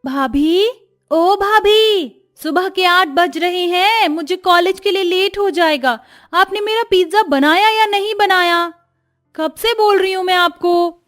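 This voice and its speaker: A woman's voice: very high-pitched, slow, a bit bright, faint music behind, voice pushed up, full character voice. A woman voicing an impatient, affectionate college-age girl in a moral-story cartoon, with a whiny, demanding manner. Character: young college-going sister-in-law, impatient and affectionate.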